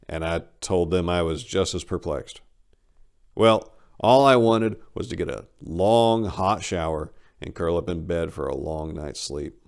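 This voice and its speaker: A man giving an expressive, theatrical reading of a first-person short story as its narrator. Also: low-pitched.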